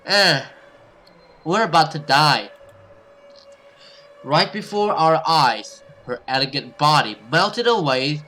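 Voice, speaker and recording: A man doing expressive let's play commentary and dramatic read-aloud of a horror visual novel as a gaming host. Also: fairly high pitch, slow, pauses often. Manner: expressive, reactive, sweary.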